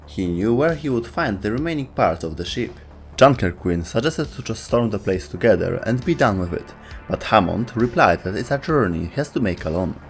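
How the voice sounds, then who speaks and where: Low-voiced man narrating over music. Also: expressive, moderate pace. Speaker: host of a video game lore show. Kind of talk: scripted narration.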